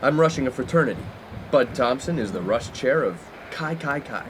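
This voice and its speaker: A brash young college prankster, acted by a man in a comic radio play, with a medium pitch that swings widely. He is mock-formal and playful, over faint noise. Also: animated, normal speed, lightly acted. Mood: mock-formal, smug. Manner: pompous, wordy, playful. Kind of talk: 1950s-set comic radio play acting.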